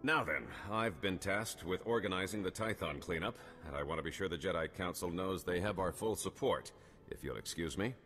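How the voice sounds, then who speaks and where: An actor playing a formal, assured male colonel in a video game, speaking in a measured, official way. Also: low-pitched, a bit bright. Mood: formal, congratulatory. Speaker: military officer character. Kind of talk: video game cutscene acting. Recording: long hall reverb with music behind.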